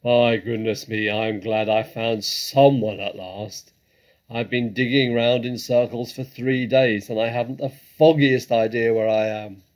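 A man voicing an excitable, blustering male badger from a storybook, with a booming, husky voice and an agitated, exclamatory manner. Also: unhurried, close to an everyday voice. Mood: bewildered, excited.

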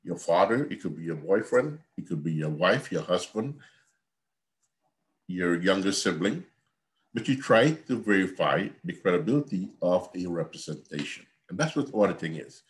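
A man with a low voice lectures students over a video call. He explains in an earnest, repetitive teacherly way, as if from a home office. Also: animated, normal speed. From Guyana. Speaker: accounting professor. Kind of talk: online university lecture.

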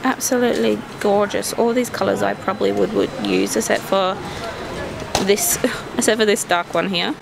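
A woman's voice: mid-range voice, lively intonation, moderate pace, creaky, noisy background. A young adult woman with an Australian accent, chatting casually and gushing as she vlogs her way around a store. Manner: gushing, enthusiastic. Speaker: vlogger. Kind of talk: casual shopping vlog chat. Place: inside a busy department store. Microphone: handheld camera at arm's length.

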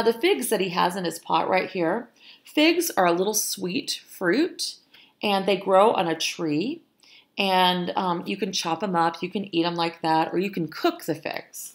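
A middle-aged woman reading a picture book aloud to children, expressive and pausing to explain. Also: on the low side, gravelly. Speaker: storytime reader, teacher.

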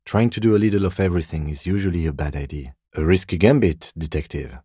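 An actor playing a calm, methodical middle-aged police lieutenant in a video game, measured, understated and dryly wry, with a husky voice. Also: male voice, deep voice, no echo, studio quality. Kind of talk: video game character dialogue.

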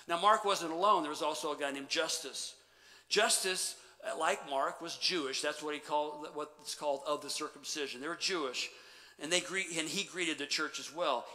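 A middle-aged man preaching a sermon as pastor, animated, then dropping to quiet emphasis. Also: on the high side. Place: church sanctuary stage. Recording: long church reverb.

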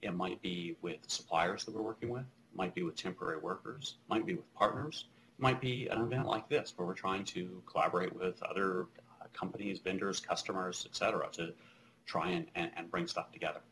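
A low-voiced man in his thirties talks through a keynote over a webcam from a small office, loose and conversational, full of ums and restarts. Faint hiss sits under the video-call audio. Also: steady tone, fairly quick. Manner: conversational, many fillers and restarts. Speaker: keynote speaker. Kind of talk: live conference keynote over video link.

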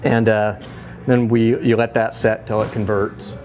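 Man, thirties, low voice, relaxed brewery tour, lots of fillers, lapel mic, small brewery. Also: measured pace. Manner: relaxed, many fillers and restarts. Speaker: head brewer. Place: small brewery inside a bar, among steel tanks.

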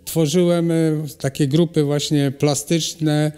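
A middle-aged man, a ceramic artist, answering interview questions in a halting way with many pauses and restarts. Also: a little high, level tone, mellow, smooth. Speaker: ceramic artist and cultural animator, guest. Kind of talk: hesitant conversational interview answers. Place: hall at a cultural centre. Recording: long reverb of a hall.

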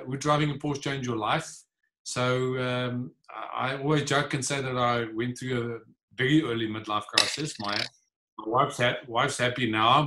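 A man's voice: mid-range voice, bright, forceful tone. A man in his forties from the Free State with a South African accent, Afrikaans his first language, answering interview questions earnestly from a home office. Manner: earnest, gestures while talking, frequent fillers. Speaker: guest, author and entrepreneur. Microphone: video call.

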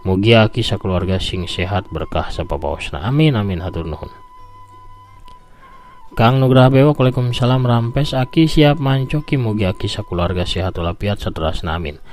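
An older Sundanese man hosts a storytelling show in a low voice. He speaks in a folksy, conversational and expressive manner, with light music behind him. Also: moderate pace. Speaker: folk tale storyteller and host. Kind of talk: expressive storytelling and reading out listener messages.

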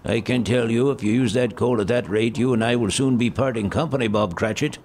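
An actor playing an elderly, irritable miser in an audio drama, gravelly and curt with scornful grumbling. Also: male voice, mid-range voice, steady tone, fairly quick, darkish tone, a little hiss or hum, natural voice.

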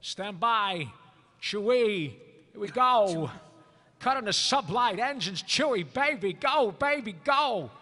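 A man's voice: sits high, lively intonation, slow pace, sharp tone, slight background noise, exaggerated voice. A man voicing a cocky, cynical space smuggler, brash and sarcastic, in a comic live table read on a hall stage. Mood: urgent. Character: cocky, cynical male space smuggler as loud cartoon character. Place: large convention hall stage. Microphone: table microphone. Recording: reverberant convention hall panel.

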